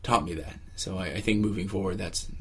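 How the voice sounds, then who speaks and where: A man giving casual, reflective interview answers, haltingly searching for his words. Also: low voice, fairly even intonation, a little hiss or hum. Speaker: interviewee, exercise participant.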